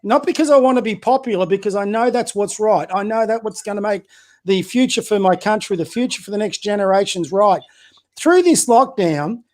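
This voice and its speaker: A high-pitched man talks as a guest on a casual podcast. He is a community basketball coach who speaks bluntly and opinionatedly, firing rhetorical questions at his listeners and tripping into restarts as he builds his point. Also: darkish tone. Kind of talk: casual political chat.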